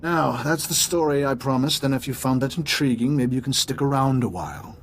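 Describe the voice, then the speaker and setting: An actor playing a wealthy, reclusive, jaded ex-businessman in a video game, unhurried and dismissive. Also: mid-range voice, slight background noise, close to an everyday voice. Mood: intrigued.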